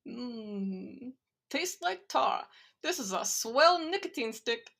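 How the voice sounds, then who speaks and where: Woman acting imperious lady tycoon, vintage radio style, heavy vocal fry, unhurried deadpan, highish voice. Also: heavily acted. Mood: smug, savoring. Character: imperious female Wall Street tycoon.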